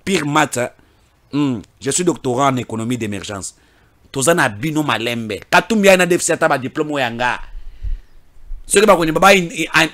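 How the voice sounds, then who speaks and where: A high-voiced man from DR Congo, speaking as a political commentator. His delivery is emphatic, with repeated words and phrases and loud declarations that give way to low, muttered asides.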